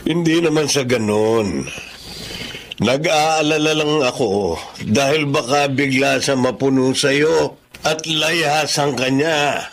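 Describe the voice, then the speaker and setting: Chiding, concerned Filipino man, medium pitch. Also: takes it slowly, a bit bright. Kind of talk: Filipino radio drama acting.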